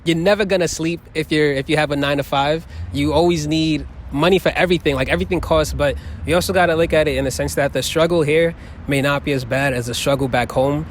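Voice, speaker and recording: A man's voice: on the high side, fast. A young man, chatting animatedly in a street interview outdoors. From the Bronx, New York. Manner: animated storyteller, laughs often. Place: outdoor plaza, city park. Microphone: handheld phone held to him. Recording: faint outdoor city noise.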